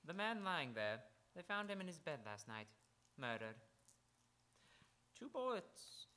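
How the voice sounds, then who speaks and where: A man playing a morbid, nervy medical examiner in a radio noir drama, with dramatic, ominous, halting phrasing. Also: on the high side, big pitch swings, sharp tone, clean tone, full character voice. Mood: morbid, intense. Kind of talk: theatrical old-time radio noir acting.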